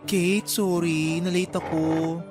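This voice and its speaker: A Filipino man playing a chatty, teasing, excitable friend in a radio drama. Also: on the high side, takes it slowly, music in the background. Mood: friendly, apologetic. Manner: animated, exclaiming, playful.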